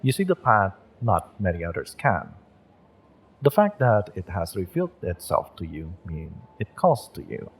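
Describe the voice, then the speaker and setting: A man playing a gentle, encouraging magick shop owner and tarot reader in an intimate audio roleplay, speaking in a measured, mystical, reassuring way. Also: low-pitched, big pitch swings, breathy edge, exaggerated voice.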